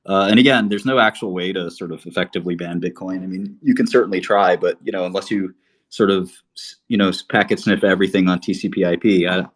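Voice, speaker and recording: A man's voice: sits low, fairly even intonation, quick pace. A man from Albania talking casually on a livestream, rambling with many fillers and restarts. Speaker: podcast host.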